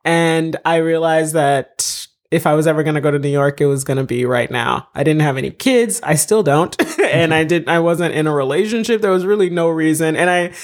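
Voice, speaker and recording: A woman's voice: low voice, a little fast. A woman in her thirties from Haiti, a TV comedy writer and stand-up comedian, telling her story in a casual podcast interview and laughing often.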